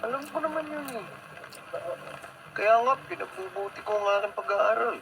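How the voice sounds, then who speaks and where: A man's voice: extremely high pitch, normal speed, gravelly, sharp tone, full character voice. An actor with a Filipino accent playing a lovestruck, dramatic young male student in a radio drama, pleading and persistent. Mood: hopeful, wooing. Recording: very noisy radio broadcast.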